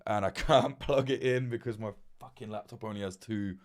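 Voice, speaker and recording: A man's voice: fairly low pitch, normal speed. A man hosting a casual livestream and answering viewer questions, talking frankly, swearing freely and rambling with restarts, into a desk mic at his laptop. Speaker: livestream host giving journalism advice. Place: indoors at a laptop with webcam. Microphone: desk mic through a mixer.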